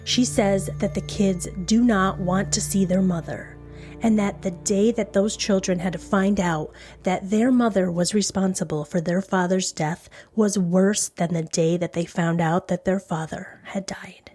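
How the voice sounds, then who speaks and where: A woman reads scripted true crime narration in the role of podcast host. Her voice is dark and relaxed at a middle pitch, with faint music behind it. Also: unhurried, husky.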